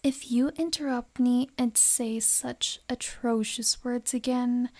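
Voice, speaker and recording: Woman acting as a rich yandere noblewoman, high mellow voice, slow, breathy, refined, ASMR roleplay, gentle over menace. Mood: coldly threatening. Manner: soft, breathy, refined, measured delivery. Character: wealthy, possessive noble lady, gentle facade over menace.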